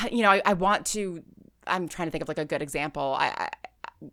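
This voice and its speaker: An American woman with a bright, crisp edge and a slightly low pitch. She chats casually as a guest, explaining through little stories and voiced thoughts. The sound is close and dry. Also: moderate pace, no room sound. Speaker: podcast guest, audio drama writer and creator. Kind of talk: casual interview conversation.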